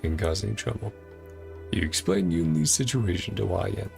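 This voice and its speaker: Low, gravelly man musing over a game. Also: normal speed, over music. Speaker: game streamer. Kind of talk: casual gameplay commentary, paraphrasing on-screen story dialogue in clipped fragments.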